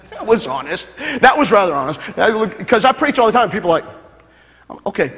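A male pastor with a notably high voice preaches to a congregation, stacking repeated phrases as he builds to loud, fervent peaks. He breaks off for jokes with the crowd. His voice carries a long reverb from a large hall. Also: big pitch swings, moderate pace. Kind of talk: sermon.

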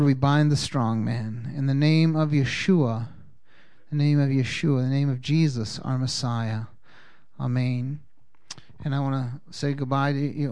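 A man leading a congregation, giving announcements and praying in a halting, folksy way with many fillers. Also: medium pitch, darkish tone, smooth. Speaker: congregation leader. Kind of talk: church announcements and prayer.

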